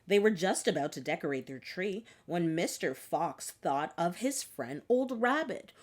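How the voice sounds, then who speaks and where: Animated woman reading a children's storybook. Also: lowish voice, unhurried. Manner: expressive, dramatic read-aloud delivery. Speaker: children's book reader. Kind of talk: scripted storybook narration.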